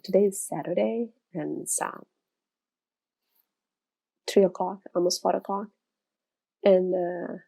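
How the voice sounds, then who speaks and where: Brazilian woman, thirties, gravelly low voice, dark mellow tone, rambling casual chat. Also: rough recording. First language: Portuguese. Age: 30s. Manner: rambling, chatty, frequent restarts. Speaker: illustrator vlogger. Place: her studio.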